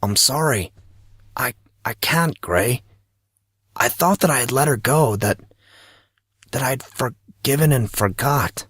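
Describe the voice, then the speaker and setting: A man's voice: mid-range voice, lively intonation, slow, pauses often, a bit bright, breathy edge, no room sound, clean studio sound, full character voice. A man playing an informal, slangy teenage schoolboy in an audio drama. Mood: remorseful. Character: teenage schoolboy, emotional and bantering with close friends.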